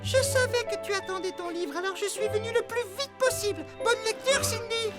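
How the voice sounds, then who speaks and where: A woman's voice: very high voice, a little fast. A woman voicing a friendly, helpful delivery vehicle in a French-dubbed children's cartoon. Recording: loud children's music behind the voice.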